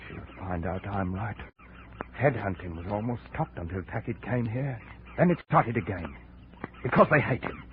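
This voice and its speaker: A man's voice: on the low side, expressive, moderate pace, close to an everyday voice. A man playing a hard, bitter jungle guide in a 1950s radio drama, blunt, brooding and authoritative. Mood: bitter, defensive. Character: hard, bitter male jungle guide, ten years in Borneo. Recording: muffled, hissy 1950 radio recording.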